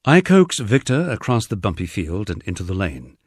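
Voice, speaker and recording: Expressive low-voiced man narrating a memoir. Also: normal speed, dry recording, clean studio sound. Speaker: audiobook narrator. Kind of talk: scripted narration of a humorous memoir.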